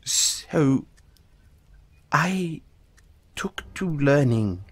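A man playing an anxious, apologetic dollmaker in an audio roleplay, stammering and halting as he speaks to the listener. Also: a little high, sing-song, husky, no room sound, heavily acted. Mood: nervous, hesitant. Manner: stammering, halting, flustered, placating. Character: anxious, apologetic male dollmaker who dabbles in soul magic.

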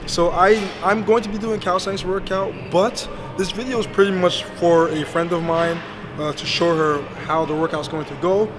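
A young man with a hoarse, fading voice chatting casually about a workout in a gym, rambling a bit and laughing now and then. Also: high-pitched, fairly even intonation, normal speed, background noise. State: hoarse, losing his voice. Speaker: fitness vlogger and trainer. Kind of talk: casual workout vlog talk. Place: gym, indoors.

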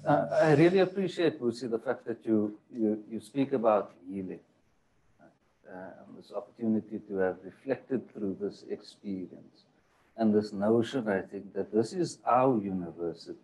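A man's voice: on the low side, normal speed, a little mellow, low-quality recording. A man moderating a panel discussion, asking long questions with searching, hesitant phrasing and frequent fillers.

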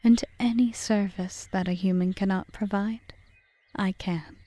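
A woman playing a calm, calculating forest witch in an audio roleplay, speaking in a measured, formal, often whispery way. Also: mid-range voice, no echo, natural voice. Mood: solemn, wistful. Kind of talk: ASMR roleplay monologue.

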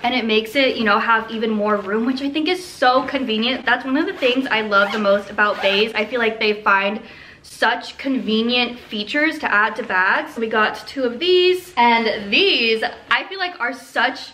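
A young woman talks casually to her camera in a living room. Her voice is fairly high and very bright, and she speaks with excited, gushing emphasis. A little background noise and room ambience sits behind her. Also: normal speed, low-quality recording. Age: 20s. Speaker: vlogger. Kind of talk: casual chatty haul vlog to camera. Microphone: camera a short way in front of her.

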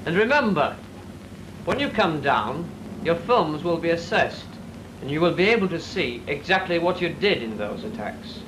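A firm officer briefs his pilots with emphatic, lively inflection. His voice sits a little high over the heavy hiss of an old film soundtrack. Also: normal speed. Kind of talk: 1940s training film acting. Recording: hissy 1940s film soundtrack.

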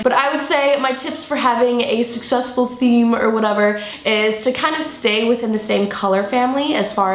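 A young woman, slightly nasal from a cold, chatting casually and playfully to the camera as a vlogger. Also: a little high. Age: young adult. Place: living room or bedroom indoors. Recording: roomy indoor echo.

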